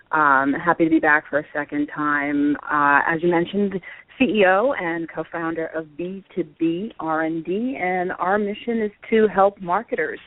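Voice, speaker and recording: A woman guest introducing herself informally in a friendly, measured way over a phone line. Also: sits low. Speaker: guest, company co-founder. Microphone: telephone call. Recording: tinny phone line, dry.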